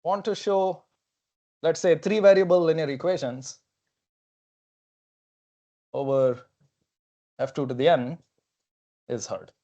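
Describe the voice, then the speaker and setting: A man's voice: fairly high pitch, steady tone, takes it slowly, long pauses, warm tone, dull audio. Young man lecturing at a whiteboard. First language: not English. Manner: casual, thinking aloud with many okays and fillers. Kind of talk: technical seminar talk at a whiteboard. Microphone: clip-on lapel mic.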